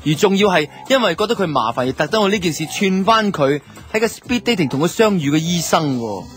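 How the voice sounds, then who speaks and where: A man's voice: high voice, lively intonation, brisk. An actor with a Hong Kong Cantonese accent playing a wry, aggrieved office worker, telling his story in colloquial radio drama narration. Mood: wry, exasperated. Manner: wry, grumbling, conversational. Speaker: narrator and lead character of a radio drama series. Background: background music.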